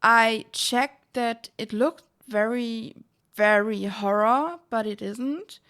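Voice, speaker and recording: Slow-paced, high-voiced excitable woman streamer. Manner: excitable, giggly. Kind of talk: casual livestream chat.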